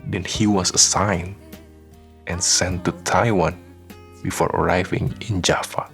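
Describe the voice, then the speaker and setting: A man reading scripted narration for a radio drama, with soft guitar music behind him. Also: low-pitched, level tone, unhurried, gravelly.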